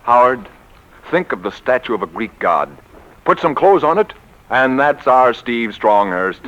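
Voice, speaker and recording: An actor playing an excitable, bombastic American publishing executive in a 1940s radio comedy, with theatrical, grandiose emphasis. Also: male voice, medium pitch, a little slow. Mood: boastful. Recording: muffled 1940s radio recording.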